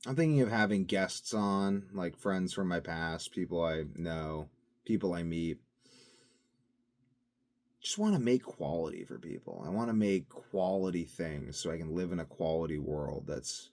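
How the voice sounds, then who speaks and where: A man talking to his own video channel in a casual, rambling monologue, musing and drifting from thought to thought with plenty of fillers. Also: low voice. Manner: musing, drifting between topics with frequent fillers. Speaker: vlogger.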